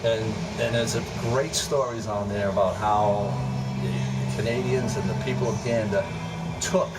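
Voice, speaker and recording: An American man gives an unscripted interview account from some distance off the microphone, with loud music behind him. He speaks haltingly, doubling back on words and starting phrases again as he explains. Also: fairly low pitch, steady tone. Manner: halting, repeats words and restarts often. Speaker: interviewee, former air traffic controller. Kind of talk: recounting memories in an unscripted interview. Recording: distant mic, loud music, vehicle-like hum.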